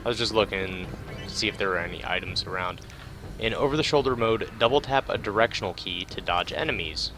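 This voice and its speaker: A man with a lowish voice talks casually through a game playthrough. He is laid-back and rambling, often hesitant, and clear game music plays behind him. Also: brisk, pushed and bright. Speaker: game player commentating a playthrough. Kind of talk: casual game commentary, partly reading on-screen tips.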